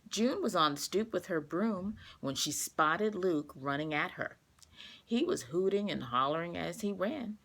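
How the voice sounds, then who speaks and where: A woman reading a children's storybook aloud, expressive and carefully paced for young listeners. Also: lowish voice, a little slow.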